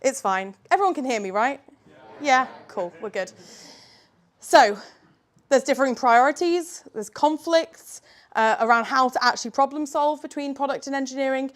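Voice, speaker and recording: High-voiced woman giving a chatty talk. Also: lively intonation, normal speed. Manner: chatty and informal, with fillers and little laughs; says she has quite a loud voice. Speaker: guest speaker at a tech meetup. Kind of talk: live presentation to an audience.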